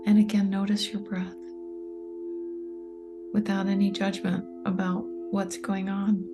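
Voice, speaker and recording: Calm woman meditation guide, breathy near-whisper, steady unvaried tone. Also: medium pitch. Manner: soft, slow and soothing, often near a whisper. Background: soft meditation music with singing bowl tones.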